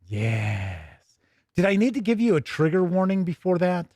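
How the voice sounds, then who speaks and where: Casting: American man, middle-aged gaming show host, slightly high voice, chatty livestream delivery, close and dry sound. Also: big pitch swings, measured pace, mellow, no room sound. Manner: chatty, conversational, with playful asides. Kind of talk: casual livestream commentary.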